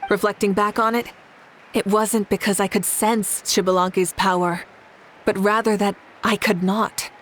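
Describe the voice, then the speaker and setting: A woman as a slow, composed warrior leader. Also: mid-range voice, steady tone, fairly bright tone, a little hiss or hum, close to an everyday voice. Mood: thoughtful, calm. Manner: measured, solemn, formal. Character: composed, commanding, perceptive female warrior leader. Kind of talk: animated video game voice acting.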